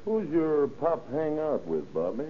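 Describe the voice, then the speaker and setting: A man's voice: on the high side, very animated, measured pace. A man playing a blunt, slangy American police detective who questions tersely in an old-time radio crime drama. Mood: blunt, prying. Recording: tinny, noisy old radio recording.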